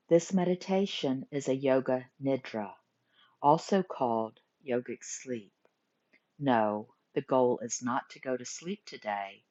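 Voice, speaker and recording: A woman teaching guided meditation, giving gentle instructions in short phrases with pauses between them. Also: extremely low pitch. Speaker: yoga nidra meditation teacher. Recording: muffled lo-fi recording.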